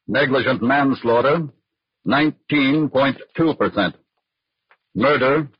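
A man's voice: medium pitch, dry recording. A man with an American accent delivering scripted 1940s radio narration in a formal, grave, declamatory manner. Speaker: radio crime series narrator. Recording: dull, husky old radio recording, music.

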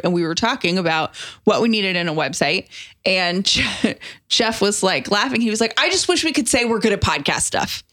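A woman's voice: medium pitch, fairly bright tone. A woman co-hosting a podcast, chatting in an informal, conversational and self-deprecating way. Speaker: podcast co-host. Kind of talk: casual conversational podcast chat.

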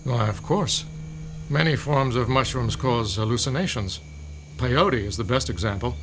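A man's voice: medium pitch, moderate pace, background noise, close to an everyday voice. An actor playing a male professor who lectures patiently about fungi in an audio drama. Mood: lecturing. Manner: lecturing, explanatory. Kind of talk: modern audio drama acting.

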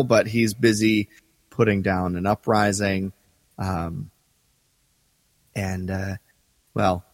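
A man's voice: mid-range voice, no room sound, long reverb tail. A man co-hosting a podcast in casual chat, with wry understatement. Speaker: podcast co-host.

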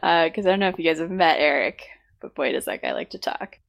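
Hoarse woman with a sore throat. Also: low-pitched. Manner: halting, full of fillers. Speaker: podcast co-host. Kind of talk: casual podcast chat.

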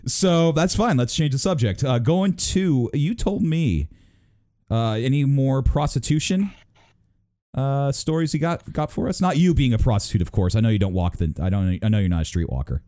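A man's voice: medium pitch, animated, moderate pace, warm tone, dry recording, clean studio sound. A man hosting a casual, bantering interview in a teasing, profane way. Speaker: host.

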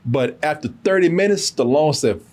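A man reviews a film casually as a podcast host, in a slightly high, husky voice. His pitch moves around a lot as he tells the story. He is emphatic, repeats himself and swears freely. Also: normal speed. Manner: animated, emphatic, repetitive, cursing freely.

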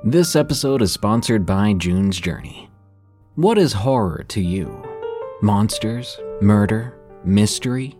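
A man's voice: on the low side, expressive, takes it slowly. A man hosting a podcast, reading a scripted sponsor ad with dramatic flair. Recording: loud guitar music behind voice. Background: guitar music.

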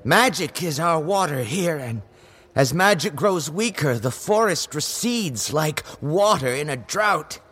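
A man's voice: fairly high pitch, lively intonation, a little slow, a bit bright, slightly rough, heavily acted. A man playing an anxious, world-weary talking goat in an audio fantasy drama, with hesitant, theatrical delivery. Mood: rueful.

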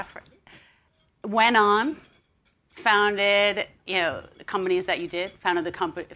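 A woman's voice: on the low side, pushed and bright. A woman from the United States hosting a live onstage interview, upbeat and chatty with frequent ums. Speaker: interview host and magazine editor. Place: onstage before an audience.

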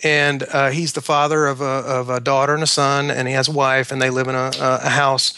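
A man speaking as an author guest in an interview, explaining his work in a halting way with repeated words. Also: medium pitch, flat delivery, sharp tone, smooth, no echo.

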